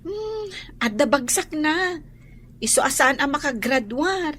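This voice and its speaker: Brief: earnest schoolkid, very high pitch, unhurried, slight ambient noise. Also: female voice, sing-song, a bit bright, full character voice. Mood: frank. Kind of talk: Ilocano family drama acting.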